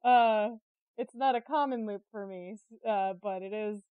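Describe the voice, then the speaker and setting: A woman speaks in a chatty, candid interview style at a medium pitch. Her delivery is expressive and self-deprecating. The recording is close and dry, with a slightly dull, lo-fi sound. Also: a little slow, clean tone, no room sound. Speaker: interviewed artist and creative director. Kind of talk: casual, candid podcast conversation.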